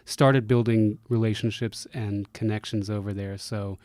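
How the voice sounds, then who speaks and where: Low-voiced man in a dry studio. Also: fairly even intonation, unhurried, a little mellow, no room sound. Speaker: musician guest. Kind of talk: casual interview chat. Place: radio studio.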